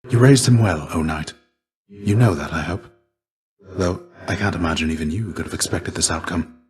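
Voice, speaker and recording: A man playing a weary, gentle warrior mentor in a video game, speaking in a measured, formal, earnest and fatherly way. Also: deep voice, lightly acted. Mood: earnest reassurance. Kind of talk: scripted video game voice acting.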